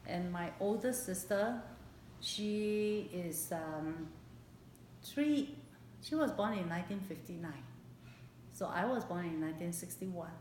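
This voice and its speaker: A woman in her late fifties with a Singaporean accent recalls her life in a personal interview, speaking haltingly and repeating phrases for emphasis. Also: fairly low pitch, a little mellow. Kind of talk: personal oral history interview. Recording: echoey room, background hiss.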